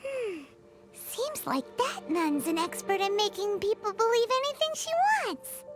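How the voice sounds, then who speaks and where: A woman voicing a small, chatty, childlike female pixie in a video game, squeaky and exclamatory, speaking of herself in the third person. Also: expressive, unhurried. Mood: suspicious. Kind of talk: video game character acting. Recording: music behind the voice.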